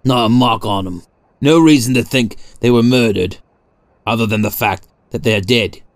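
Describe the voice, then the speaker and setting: A man voicing a powerfully built, gruff police constable in a storybook, blunt and colloquial, tense in temper. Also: medium pitch, normal speed, dry recording, close to an everyday voice. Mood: tense, grim.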